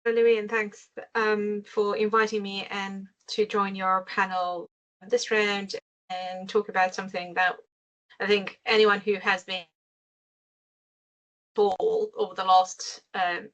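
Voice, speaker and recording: A woman from Syria, a guest speaker giving a measured briefing over a video call, with frequent pauses. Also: medium pitch, level tone, a bit bright, rough recording. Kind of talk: webinar briefing.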